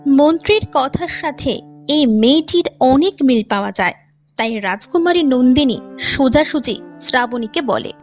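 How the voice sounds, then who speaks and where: A woman's voice: sits high, lively intonation, moderate pace, soft background music. A woman narrator reading a scripted storybook-style moral tale for an animation.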